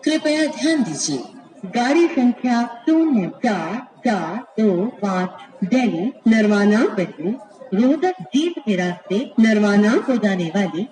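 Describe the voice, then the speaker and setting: A woman making formal, scripted railway announcements in measured, pieced-together phrases over an echoey station loudspeaker. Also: mid-range voice, animated, a faint crowd. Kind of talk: scripted, pre-recorded train announcements. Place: played over public address at a railway station.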